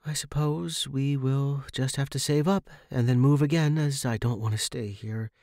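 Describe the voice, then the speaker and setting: A man's voice: mid-range voice, fairly even intonation, moderate pace, darkish tone, no echo, studio quality, pitched above the performer's own voice, natural voice. A man voicing an uneasy, outspoken young woman, the heroine of a story, in an earnest way.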